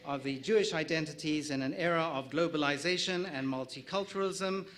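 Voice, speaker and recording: A man's voice: on the high side, a little fast, fairly bright tone. A middle-aged man from South Africa with a South African accent, moderating a conference panel, reading introductions with small slips and light jokes.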